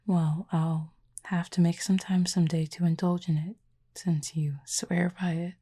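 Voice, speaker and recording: A woman voicing a calm, curious cupid in an intimate audio roleplay, speaking softly and half-whispered with formal, measured, gently dry phrasing. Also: fairly low pitch. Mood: thoughtful, curious. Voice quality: breathy, soft, half-whispered. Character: calm, curious otherworldly cupid who feeds on feelings.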